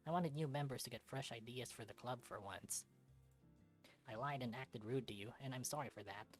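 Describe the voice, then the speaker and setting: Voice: mid-range voice, a little fast, soft background music, close to an everyday voice. A performer voicing an earnest, slightly officious young male fox who heads a school science club. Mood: apologetic.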